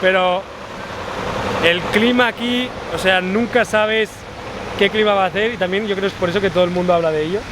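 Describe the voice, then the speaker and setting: Chatty Spaniard vlogging on a busy street. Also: male voice, sits high, fairly even intonation, fairly quick. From Spain. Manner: chatty, pointing things out as he walks. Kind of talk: casual chat walking outdoors. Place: city street outdoors. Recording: street noise behind.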